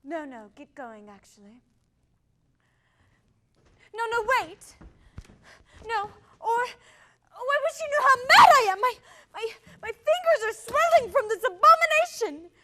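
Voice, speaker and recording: An actress playing a proud, furious young widow who lashes out in shrill outbursts on stage. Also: female voice, big pitch swings, unhurried, pauses often, sharp tone, heavily acted. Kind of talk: stage play performance.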